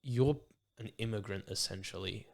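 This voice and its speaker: A man's voice: fairly low pitch, moderate pace, creaky. A young Australian man hosting a podcast, chatting in a laid-back, earnest way and calling his guest bro and mate. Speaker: podcast host. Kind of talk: casual chat.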